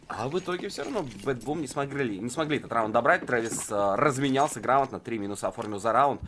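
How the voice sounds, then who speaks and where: A man's voice: medium pitch, fairly bright tone, slight background noise. A man giving live play-by-play of a video game match as an esports commentator, conversational and thinking aloud.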